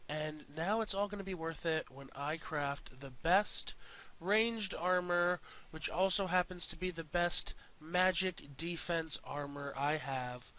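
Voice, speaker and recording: A man with a fairly high, very bright voice narrates his gameplay casually. He sounds congested yet clear, and he rambles along in a slangy, loose way. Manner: rambling, slangy, occasional swearing. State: congested from dust. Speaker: gaming video creator.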